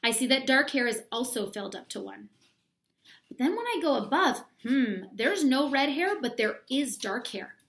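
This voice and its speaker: A woman in her thirties reads an instructional book aloud for young children. Her voice sits fairly high and has a clear creak to it. She explains each point step by step, often asking the listeners questions as a schoolteacher would. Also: sing-song, moderate pace, a bit bright. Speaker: elementary school teacher reading aloud. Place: small room at home, indoors.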